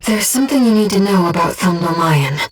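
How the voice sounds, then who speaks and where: A woman voicing a calm, earnest, gentle disembodied female guide for a pilot in a video game, with measured, precise delivery. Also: on the low side, distant mic, soft background music, natural voice. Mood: calm briefing. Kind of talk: scripted video game voice acting, mission support.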